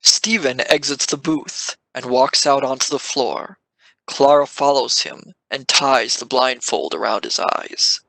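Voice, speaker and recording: A man's voice: mid-range voice, unhurried, gravelly, bright, forceful tone, no room sound, poor audio. A man reading scripted narration for an audio drama.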